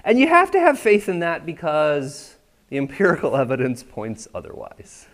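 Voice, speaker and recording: Lively, conversational male preacher. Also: on the high side, moderate pace. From United States. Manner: conversational, checks in with listeners often. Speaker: pastor. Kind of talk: sermon. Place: church sanctuary.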